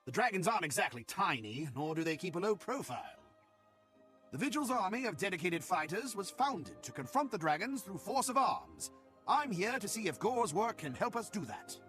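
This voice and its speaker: An actor playing a blunt, militant gnomish soldier in a fantasy game, talking in a challenging manner. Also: male voice, high-pitched, sing-song, normal speed, a bit bright, faint music behind. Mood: brusque, confident.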